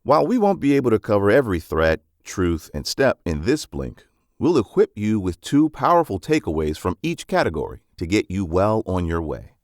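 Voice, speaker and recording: Male narrator for non-fiction summaries. Low register, animated delivery, emphatic phrasing, dry close recording. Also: moderate pace, dry recording.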